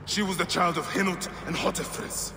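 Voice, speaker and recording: An actor playing a vengeful, brooding ancient Egyptian warrior in a video game, solemn and intense. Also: male voice, high voice, fairly even intonation, normal speed. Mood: cold anger. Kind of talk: dramatic game cutscene acting. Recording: roomy echoing scene with noise behind.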